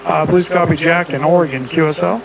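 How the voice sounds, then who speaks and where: A man's voice: fairly high pitch, fairly even intonation, a little fast, muffled recording, a loud crowd. A middle-aged man working an amateur radio contest, rattling off clipped exchanges and spelling in phonetics. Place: small home radio room indoors. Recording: clear background noise.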